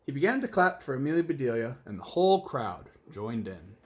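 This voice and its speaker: Highly animated man reading a storybook. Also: brisk. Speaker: storybook reader. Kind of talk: expressive children's read-aloud narration.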